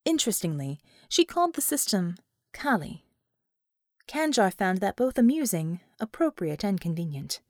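A woman's voice: mid-range voice, big pitch swings, moderate pace, darkish tone, no echo, clean studio sound. A woman reading a scripted fiction audiobook as its narrator, in a dramatic storytelling style.

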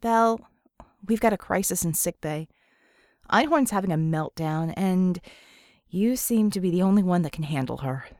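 A woman's voice: fairly low pitch, animated, normal speed, darkish tone, no room sound, studio quality. A woman voicing an exasperated female detective in an audiobook, terse and urgent as she reports trouble.

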